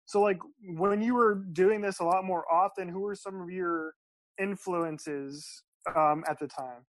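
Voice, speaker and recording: A man hosting a podcast, asking a guest a question in an enthusiastic, halting way, often restarting his sentences. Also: high-pitched, fairly bright tone, dry recording, poor audio. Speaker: podcast host. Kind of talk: interview question.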